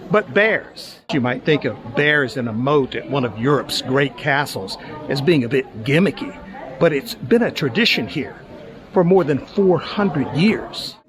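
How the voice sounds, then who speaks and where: A middle-aged man hosting a travel show, narrating in a relaxed, conversational way with small hesitations as he walks around outdoors. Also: medium pitch, unhurried. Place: outdoors in a busy tourist town. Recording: crowd chatter behind the voice. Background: crowd of tourists.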